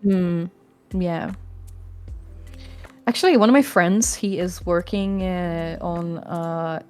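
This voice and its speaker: A woman's voice: fairly low pitch, takes it slowly, a little mellow, clear, resonant tone, faint music behind. A young woman with a Swedish accent chatting casually and frankly, sometimes swearing. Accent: Swedish-accented English. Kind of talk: casual chat.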